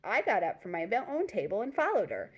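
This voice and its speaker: A woman reading a first-person story aloud in an expressive, conversational way, occasionally stumbling and rereading words. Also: medium pitch, fairly quick.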